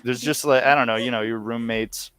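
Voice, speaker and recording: A man's voice: mid-range voice, steady tone, fairly quick, fairly bright tone, clean tone, no room sound, studio quality. A man chatting casually as an interview guest, with a laid-back, wry manner. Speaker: podcast guest, audio series co-creator.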